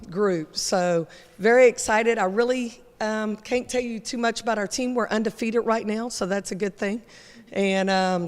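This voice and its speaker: A woman coach giving informal remarks to an audience at a media day. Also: mid-range voice. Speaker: college basketball coach. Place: large indoor hall, speaking to an audience. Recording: echoey hall sound with long reverb.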